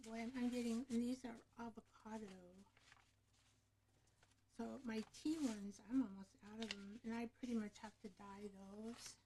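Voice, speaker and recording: A woman with a soft, low voice hosting a relaxed craft-along show, chatting to herself as she works, picked up by a camera microphone right in front of her. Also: normal speed, background noise. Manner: soft, low-voiced, thinking aloud while working.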